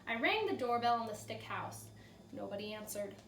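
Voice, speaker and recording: Storybook wolf, played by a woman, high-voiced and animated, wronged, chatty, full of excuses. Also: normal speed. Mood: casual, self-justifying. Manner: exaggerated, self-justifying storytelling. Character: aggrieved chatty male wolf telling his side of events. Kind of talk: read-aloud children's storybook. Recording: roomy echo, far from mic, faint noise.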